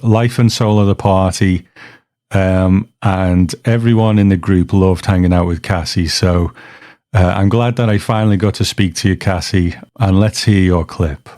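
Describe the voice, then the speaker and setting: A man with a low voice hosts a podcast in a dry, close recording. He talks casually and reflectively, wandering into asides as he goes. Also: darkish tone, no echo. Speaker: podcast host. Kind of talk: casual hosting talk, introducing listener clips.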